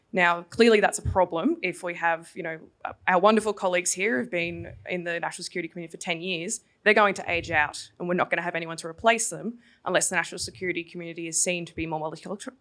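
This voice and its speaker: A young woman in the audience asks the panel a question. She talks quickly in long, run-on sentences, and her voice sits slightly low for a woman. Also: a bit bright. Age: young adult. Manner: rapid, long-winded question.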